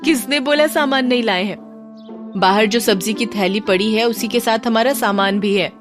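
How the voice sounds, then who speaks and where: Sassy, high-pitched rustic housekeeper played by a woman. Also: normal speed, over music, natural voice. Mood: cheeky, confident. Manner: sassy, confident, coquettish. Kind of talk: Hindi audio drama character voice.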